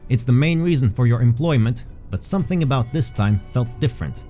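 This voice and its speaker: A man with a mellow, mid-pitched voice narrates a fantasy tale. He reads in a measured storytelling way, with faint music underneath. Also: lively intonation. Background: background music.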